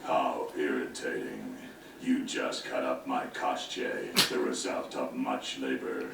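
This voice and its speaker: Very deep male voice, slow, arrogant evil sorcerer, game cutscene, echoey noisy playback. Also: gravelly, recorded from a distance, heavily acted. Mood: arrogant, irritated. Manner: taunting, grandiose, unhurried delivery. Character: menacing, arrogant male sorcerer villain, monotone threats. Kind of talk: video game cutscene acting. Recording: roomy, noisy game audio replayed from afar.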